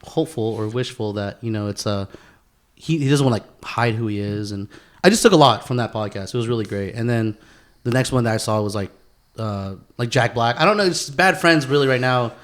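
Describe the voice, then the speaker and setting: A man's voice: mid-range voice, brisk. A man hosting a podcast in casual chat, peppering his slangy, sometimes profane talk with bro, dude and man. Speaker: podcast host.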